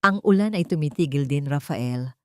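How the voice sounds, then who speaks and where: A woman voicing a calm, guarded but forgiving mother in an audio story, speaking gently and firmly. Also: low voice, dry recording, studio quality. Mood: gentle, reflective. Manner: measured, gentle, firm.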